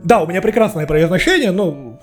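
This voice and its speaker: A man's voice: high voice, animated, a little fast. A man co-hosting a casual podcast about video games, joking and self-mocking. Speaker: podcast co-host.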